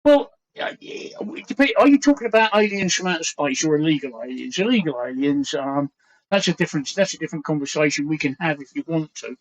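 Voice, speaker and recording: A man's voice: on the high side, lively intonation, fairly quick. A man in his sixties with a London Cockney accent, hosting a casual livestream chat, earnest and rambling, repeating phrases as he thinks. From East London, England. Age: 60s. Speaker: livestream host. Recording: dull lo-fi stream audio.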